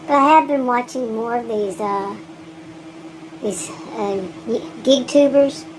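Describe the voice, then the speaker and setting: High-voiced Texan woman, frank and chatty. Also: slow, noisy background. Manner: frank and chatty, laughs often, many restarts. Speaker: gig delivery vlogger. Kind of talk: rambling casual monologue.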